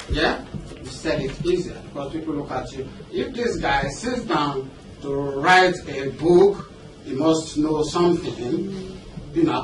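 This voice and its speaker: A man with a Nigerian accent giving a motivational seminar talk as a business coach, conversational and often checking in with questions. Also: a little high. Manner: conversational, engaging the audience with questions and check-ins. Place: indoor seminar room with an audience. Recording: roomy, noisy seminar hall, distant mic.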